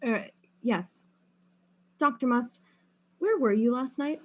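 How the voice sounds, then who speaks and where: A woman's voice: a little high, slow pace. A woman playing a polite, inquisitive detective in a mystery drama, questioning people in an earnest and slightly hesitant way. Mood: polite probing.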